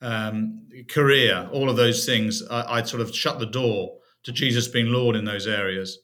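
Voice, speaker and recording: A man's voice: mid-range voice, fairly even intonation, a little slow, sharp tone. A middle-aged British man from the UK, a podcast guest telling his faith story in a reflective way. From United Kingdom. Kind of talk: personal testimony in an interview.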